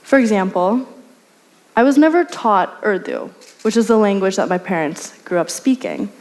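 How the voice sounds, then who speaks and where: A young woman speaks in a middle-pitched voice and tells her story in an earnest, measured way. She sounds like a student at a university talk series. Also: lively intonation, darkish tone. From United States (Portland). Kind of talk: personal talk.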